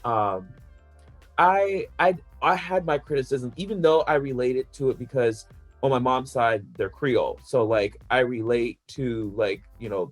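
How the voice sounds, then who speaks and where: Man, podcast panelist, casual chat, rambling, filler-heavy, average pitch, faint background music. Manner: laughs between points.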